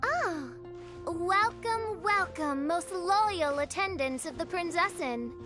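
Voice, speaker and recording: A woman's voice: extremely high pitch, expressive, slow pace, over music, full character voice. A woman voicing a grandiose teenage girl in a video game who speaks like a princess, pompous, archaic and melodramatic. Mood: grand delight. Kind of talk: English video game dub.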